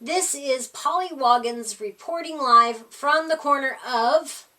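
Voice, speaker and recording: An excitable young female frog reporter from a storybook, acted out by a woman. The voice is high and bright, with a breezy, confident, emphatic way of speaking. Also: takes it slowly, exaggerated voice. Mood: urgent reporting.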